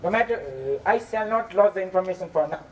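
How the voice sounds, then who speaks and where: A bitter blackmailing moneylender, played by a young man with a high-pitched voice. The stagey delivery is insistent and threatening, picked up from a distance. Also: animated, a little fast. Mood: coldly threatening. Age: young adult. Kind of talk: stage acting in a school play. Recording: distant mic, faint background noise.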